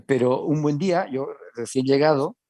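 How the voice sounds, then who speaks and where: Middle-aged Italian-accented man, panel speaker, mellow and dry sound, stop-start delivery. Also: mid-range voice, dry recording. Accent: Italian, with a Spanish Castilian touch. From Italy. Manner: halting, many restarts, conversational. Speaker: hospital medical director, panelist. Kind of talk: panel discussion.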